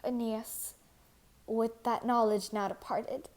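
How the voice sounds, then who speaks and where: A proud and wounded queen of antiquity, acted by a woman, declaims tragic verse in a fairly high voice. She is grieving and wrathful, and she takes her words slowly and deliberately, as in a stage tragedy. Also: steady tone, darkish tone. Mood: dying defiance. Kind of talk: theatrical solo reading of verse libretto.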